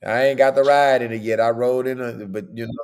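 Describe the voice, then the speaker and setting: A man hosting a podcast in a casual, chatty way. His voice is hoarse and worn, and he keeps checking in with "okay?". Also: medium pitch, fairly even intonation, fast talker. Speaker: podcast host.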